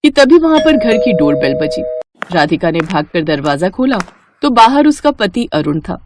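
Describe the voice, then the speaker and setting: A woman narrating a cartoon story in a steady, matter-of-fact way. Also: mid-range voice, normal speed, very noisy.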